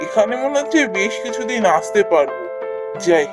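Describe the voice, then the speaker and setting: A man's voice: sits high, very animated, normal speed, loud music behind the voice. A man voicing a good-natured, worried young village cowherd in a cartoon, musing expressively with a Bengali accent. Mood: content. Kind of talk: cartoon voice acting, thinking aloud.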